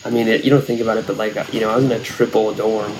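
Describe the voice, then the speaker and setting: A young man talking in a relaxed, rambling way, full of fillers and restarts, in a casual podcast chat. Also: on the low side, rapid-fire, slight background noise, poor audio. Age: young adult.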